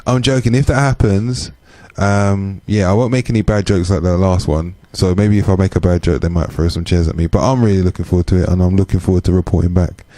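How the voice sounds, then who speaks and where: Low, mellow British man in interview. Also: steady tone, fast, few pauses, no room sound. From UK, born to Caribbean parents. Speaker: guest, community organisation chair. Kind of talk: conversational radio interview.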